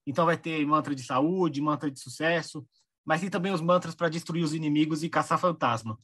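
A Brazilian man with a husky, slightly high voice. He talks casually, like a panelist signing off with a few recommendations for the audience. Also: a little fast, fairly bright tone. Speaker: panelist on a live online discussion show. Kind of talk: casual chat, closing remarks and recommendations.

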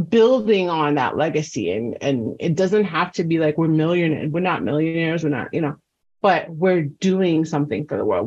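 Lower-voiced woman on a muffled video call. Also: husky. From New York City. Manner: earnest, storytelling, lots of "you know" and "right?". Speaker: guest. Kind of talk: casual interview chat.

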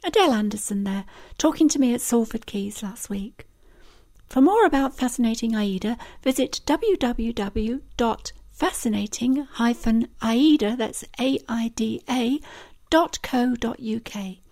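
A woman hosting a podcast, reading a scripted sign-off and spelling out web addresses in a measured way. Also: on the high side, animated, a little mellow. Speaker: podcast host.

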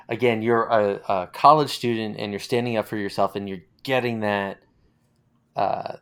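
A man's voice: on the low side, smooth. An American man hosting a conversational interview, talking in an encouraging, affirming and discursive way. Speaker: interview host.

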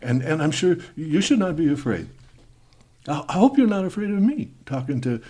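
A man playing a halting Spanish student. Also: on the high side, very animated, mellow, husky. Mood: stiffly charming. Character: young male Spanish exchange student, formal, awkward.

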